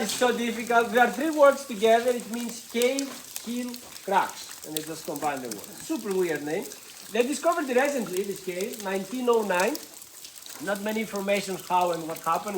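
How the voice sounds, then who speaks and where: A woman's voice: mid-range voice, low-quality recording. Greek-accented woman guiding a cave tour. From Greece. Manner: chatty and playful, joking with the group, loose non-native grammar. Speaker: tour guide. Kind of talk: guided cave tour talk. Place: inside a lava tube cave with a tour group.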